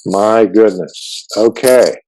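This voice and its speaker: Low-voiced chatty man interviewing a guest. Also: slow, mellow, a little hiss or hum, low-quality recording. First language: Farsi. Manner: chatty, joking, with frequent fillers and restarts. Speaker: podcast host. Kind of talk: casual interview.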